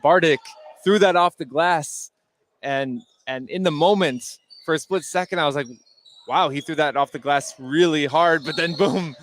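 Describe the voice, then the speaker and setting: A man giving conversational color analysis during a live basketball broadcast, thinking aloud in a halting way. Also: fairly high pitch, fairly bright tone. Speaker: color commentator. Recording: live broadcast with faint background noise.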